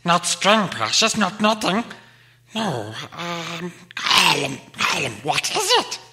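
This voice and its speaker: A man's voice: on the high side, animated, slow, bright, forceful tone, voice pushed up, heavily acted. A man voicing a slimy, spiteful cave creature in an audiobook, hissing, shrieking and muttering with wheedling repetition. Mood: sly, impatient. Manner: fussy muttering with repeated words. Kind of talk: audiobook character acting.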